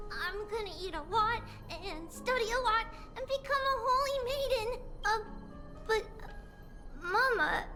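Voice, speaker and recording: An innocent little girl speaks in a very high, small voice. She takes her time, telling things with simple, earnest wonder. It is game cutscene dialogue with music playing clearly underneath. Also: fairly bright tone, heavily acted. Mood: earnest worry. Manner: earnest, childlike, simple wide-eyed storytelling. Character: innocent, chatty little girl, young priestess-to-be.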